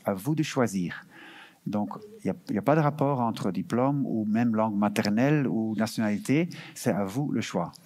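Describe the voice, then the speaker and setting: A man's voice: medium pitch, a little mellow, breathy edge. A man representing an EU recruitment office, answering audience questions at an information session, hesitant with many fillers and restarts, sometimes slipping into English terms. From Belgium.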